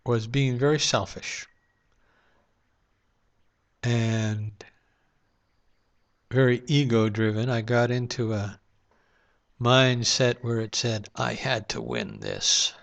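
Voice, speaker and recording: A man's voice: medium pitch, expressive, slow pace, creaky, long pauses. Reflective man rambling in a dull lo-fi recording. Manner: rambling, reflective, with many fillers. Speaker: vlogger. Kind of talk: casual monologue to camera. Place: home room at night, lamp-lit.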